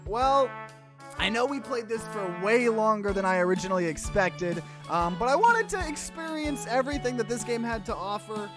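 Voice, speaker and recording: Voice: medium pitch, expressive, normal speed. A let's play host giving casual, rambling, conversational commentary on a game. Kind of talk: casual gaming livestream commentary. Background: game music.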